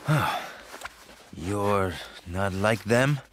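A man's voice: fairly low pitch, lively intonation, fairly bright tone, slight background noise, heavily acted. An actor playing a roguish, wry male captive in a video game cutscene. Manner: wry, unhurried.

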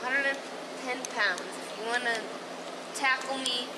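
A young woman speaks to police in a fairly high voice. The body camera recording is very noisy around her. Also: unhurried. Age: young adult. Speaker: arrested suspect. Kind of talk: spontaneous talk with police. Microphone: body-worn camera, a few feet away.

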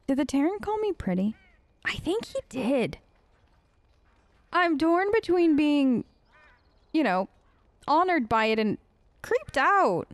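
A high-voiced woman chats her way through a game in character. She is lively, earnest and informal, sounding close and dry on the mic. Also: takes it slowly, long pauses, dry recording. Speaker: let's play host role-playing as an elf character. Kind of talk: casual improvised role-play game commentary.

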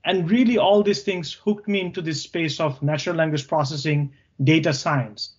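A man's voice: on the high side, steady tone, normal speed, lo-fi sound. A man around forty from Bangladesh, a native Bangla speaker with a Bangladeshi accent, answering interview questions about his career. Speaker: podcast guest, tech co-founder.

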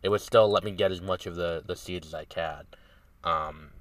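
A low-voiced man with a head cold talks into a close podcast mic, his voice nasal and stuffed up. He rambles casually, filling gaps with ums and starting sentences over. The sound is dry, with no room around it. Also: fairly even intonation, moderate pace, no echo, distant mic. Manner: rambling, many ums and restarts. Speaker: podcast host. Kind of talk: casual solo review talk.

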